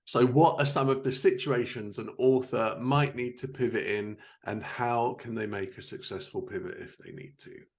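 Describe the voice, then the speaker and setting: A man's voice: medium pitch, fairly even intonation, normal speed, hardly any pauses, a little mellow. A man hosting a casual interview, informal and teasing, happy to swear while chatting with his guest. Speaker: podcast host interviewing a guest.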